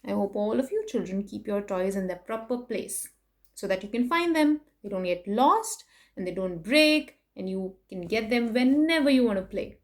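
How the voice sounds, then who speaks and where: A woman doing an expressive children's picture book read-aloud, playful in her emphasis, with chatty asides to her young listeners. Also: medium pitch, moderate pace. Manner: animated, sing-song rhyme reading with playful emphasis. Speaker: children's story reader and host.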